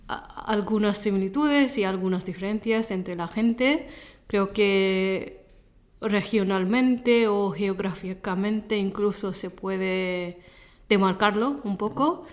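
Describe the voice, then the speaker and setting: Female interview guest, Gujarati mother tongue; conversational, feels her way through sentences and corrects herself. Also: mid-range voice. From Leicester, England. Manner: halting, self-correcting second-language speech. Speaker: interview guest, Spanish teacher.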